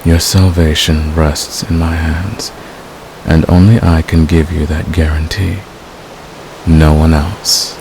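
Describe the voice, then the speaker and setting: A man playing a devoted, manipulative villain in a romantic ASMR roleplay, speaking with deliberate, possessive intensity. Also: level tone, heavily acted. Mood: menacing, possessive. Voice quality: very deep, gravelly. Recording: clear background hiss.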